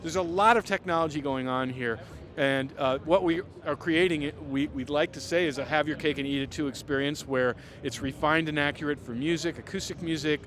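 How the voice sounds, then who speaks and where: A man's voice: fairly high pitch, fairly quick, a bit bright. A man presenting products off the cuff as a company representative, with an enthusiastic, salesy delivery. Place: trade show. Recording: music behind the voice.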